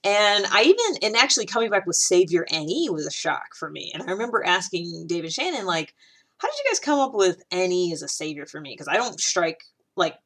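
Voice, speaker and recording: A woman's voice: lowish voice, very animated, fairly quick, a bit bright. A woman hosting her own video, talking casually to the camera in a rambling way, full of fillers and restarts. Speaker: video host.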